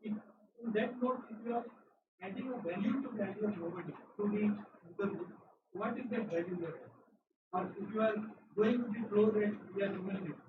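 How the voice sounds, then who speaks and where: A man acting as discussant in an academic seminar, asking long, searching questions with many pauses and fillers. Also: high voice. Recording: echoey, distant, phone-like hall recording with noise.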